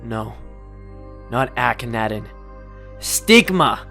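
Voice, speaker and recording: Audio drama golem, put on by an actor: boyish body, ancient vengeful ruler, raspy and wildly inflected, over music. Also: medium pitch, expressive, takes it slowly, a bit bright, full character voice. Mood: imperious, vengeful. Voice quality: rasping. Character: revived ancient king in a golem boy's body, bitter, imperious.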